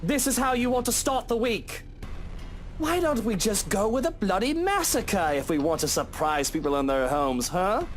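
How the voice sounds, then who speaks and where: A man's voice: sits high, very animated, normal speed, faint music behind, voice pushed up. A man voicing a pompous, sneering wealthy homeowner with a theatrical, mocking manner. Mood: scornful.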